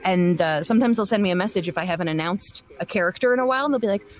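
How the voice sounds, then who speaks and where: A woman with a slightly low voice chats casually as an interviewed voice actor and director. She is talkative and gently self-deprecating, in an easy conversational style. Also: racing.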